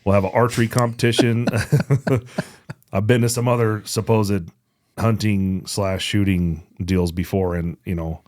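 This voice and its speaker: A man's voice: fairly low pitch, fairly even intonation, measured pace, a little mellow, no room sound. A man hosting a podcast with casual chat and announcements, blunt and joking, with plenty of swearing. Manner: blunt, profane, joking banter. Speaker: podcast host.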